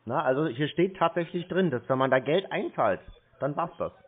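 Man, slightly high voice, blunt, insistent, lecturing, phone argument, narrow tinny sound. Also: animated, normal speed, husky. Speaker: scam-baiter taking a cold call. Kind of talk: confrontational phone conversation. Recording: thin, band-limited phone-line sound.

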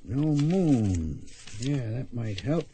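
A husky, folksy mentor. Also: male voice, mid-range voice, big pitch swings, very slow, dark tone, heavily acted. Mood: skeptical. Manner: folksy, plainspoken. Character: folksy old-West gunfighting mentor, plainspoken and wary. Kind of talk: radio western drama acting.

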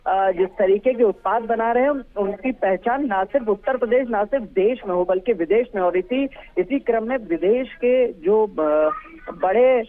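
A male field reporter giving a live news report by phone, hesitant, with many fillers and restarts. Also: high-pitched. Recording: tinny phone line.

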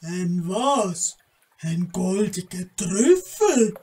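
A woman's voice: mid-range voice, big pitch swings, extremely slow, warm tone, husky, exaggerated voice. A woman acting a lazy, dim-witted, whiny troll in a children's audio play. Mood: confused.

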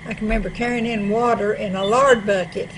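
A woman's voice: medium pitch, fairly even intonation. A woman in her eighties with an East Tennessee accent, plainly reminiscing about her rural childhood in a small library room. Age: 80s. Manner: unhurried, plain-spoken. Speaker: storyteller. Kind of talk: casual reminiscing. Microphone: table microphone. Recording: noisy room recording.

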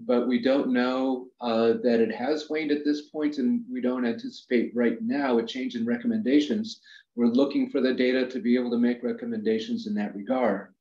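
A man on a video call giving a measured public health briefing, pausing often with fillers. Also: medium pitch, mellow. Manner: measured, hesitant with many fillers. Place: office. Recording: roomy, dull video-call audio.

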